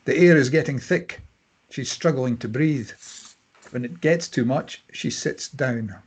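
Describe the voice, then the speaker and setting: Male narrator, raspy mid-range voice, reading screenplay action lines. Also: mid-range voice, unhurried. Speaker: stage-direction reader. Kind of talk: scripted narration at a table read.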